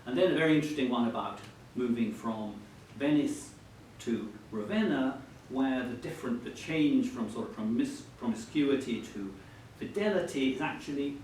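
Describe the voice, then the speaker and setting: A man's voice: expressive, moderate pace, darkish tone. A man acting as interviewer in a literary interview before an audience, putting his questions in a hesitant, roundabout way. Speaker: interviewer at a book event. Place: echoey hall at a live book event. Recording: very echoey, distant mic, faint rumbling noise.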